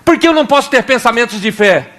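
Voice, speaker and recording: A young Brazilian man with a Brazilian Portuguese accent preaching a sermon as pastor, animated, acting out little scenes and prayers in different voices. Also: very high voice, fast.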